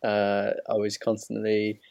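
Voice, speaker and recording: A man's voice: on the low side, monotone, measured pace, vocal fry, darkish tone. A man in his thirties from Zimbabwe, telling his story conversationally as an easygoing interview guest. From Zimbabwe, moved to England as a child. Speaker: podcast guest, ex-professional cricketer.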